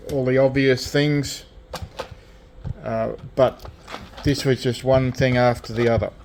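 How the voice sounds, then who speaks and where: Halting Australian man over background noise. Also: medium pitch, darkish tone. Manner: halting, hesitant, piecemeal phrasing. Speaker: radio restorer presenting own collection. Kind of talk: casual hands-on show-and-tell commentary. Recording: clear background noise, hobby video.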